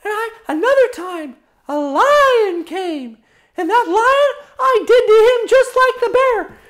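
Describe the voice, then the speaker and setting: A small, brave shepherd boy from a Bible story. The voice leaps very high and squeaky, earnest and insistent, with lively swings in pitch. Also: takes it slowly, exaggerated voice. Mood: eager, boastful.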